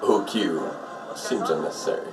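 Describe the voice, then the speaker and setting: A man's voice: low voice, normal speed. A man giving casual, off-the-cuff live commentary on a show, hesitantly musing aloud as he goes. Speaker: host of a live reaction show. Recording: distant mic, TV show music behind. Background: television show playing with music.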